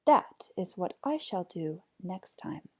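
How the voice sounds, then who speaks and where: A woman's voice: lowish voice, very animated, slow, husky, exaggerated voice. A woman voicing a good-natured, simple young lad in a storybook, agreeable and obliging. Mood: cheerful, agreeable. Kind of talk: storybook character voice.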